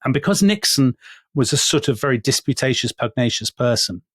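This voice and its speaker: A man's voice: mid-range voice, animated, brisk, fairly bright tone, breathy edge, no echo, clean studio sound. A man co-hosting a casual history podcast, telling a story with plenty of asides and laughter. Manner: chatty, storytelling, laughs often. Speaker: podcast co-host.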